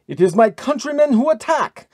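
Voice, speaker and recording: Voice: high voice, sing-song, moderate pace, darkish tone, no room sound, studio quality, voice pushed up. A narrator playing a boastful, triumphant German military man in an audiobook adventure, speaking in exclamations.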